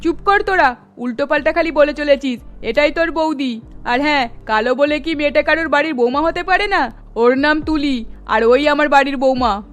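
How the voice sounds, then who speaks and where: A woman's voice: sits high, moderate pace, fairly bright tone. A woman playing a middle-aged mother in a cartoon story, scolding in a firm, exasperated way, with music underneath. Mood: stern, defensive. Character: scolding middle-aged mother defending her daughter-in-law.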